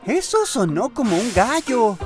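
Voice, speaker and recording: Eager little boy train, high voice, latin american accent, kids cartoon, music behind. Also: big pitch swings, unhurried. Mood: excited, curious. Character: cheerful, eager young boy tank engine. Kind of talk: children's cartoon dubbing.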